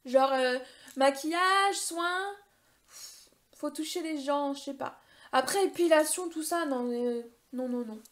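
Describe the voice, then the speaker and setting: A woman's voice: high-pitched, a bit bright. A woman in her thirties answering viewer questions in a casual vlog, chatty and rambling, with many hesitations and self-mocking humor. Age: 30s. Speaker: beauty YouTuber.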